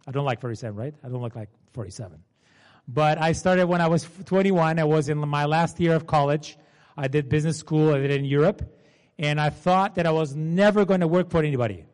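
A man in his forties with a Portuguese accent opens a seminar in a loose, chatty way and keeps checking in with the audience. His voice sits a little high for a man. The sound rings with the long tail of a large hall. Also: fairly quick, darkish tone. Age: 40s. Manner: chatty, asks the audience to confirm. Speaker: seminar presenter. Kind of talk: informal seminar talk. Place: seminar room.

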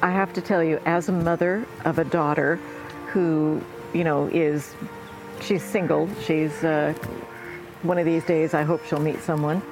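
A woman's voice: low-pitched, steady tone, unhurried, over music, rough recording. Probing woman co-host. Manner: probing, conversational. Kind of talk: interview questioning.

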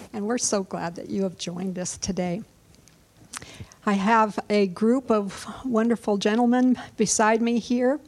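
A woman from Michigan moderating a church panel discussion, speaking gently and earnestly. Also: medium pitch. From Michigan, USA.

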